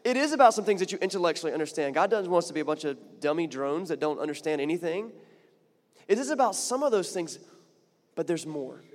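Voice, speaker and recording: A man's voice: on the high side, lively intonation, quick pace, long reverb tail. A man preaching a sermon in a chatty, conversational way, building to emphatic points and often repeating words. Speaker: pastor.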